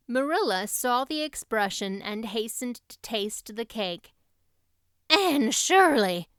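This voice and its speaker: A woman narrates a storybook in a smooth, clear, fairly high voice. The recording is dry and close, with the words read out as scripted audiobook narration. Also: animated, unhurried, a bit bright, no echo.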